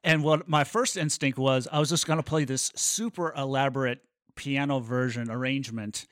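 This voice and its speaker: A man's voice: medium pitch, normal speed, a bit bright, dry recording, studio quality. A man chatting casually and telling stories as a podcast co-host. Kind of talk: casual chat.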